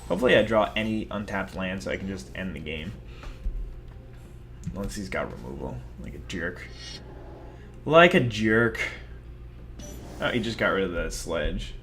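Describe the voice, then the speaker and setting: A young man with a somewhat low voice talks through a digital card game as he plays. He rambles and mocks himself in a loose, chatty way. A faint hum of room noise sits under his voice. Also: very animated, moderate pace, long pauses, fairly bright tone. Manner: rambling, self-mocking, chatty. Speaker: gaming video host. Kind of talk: casual gameplay commentary.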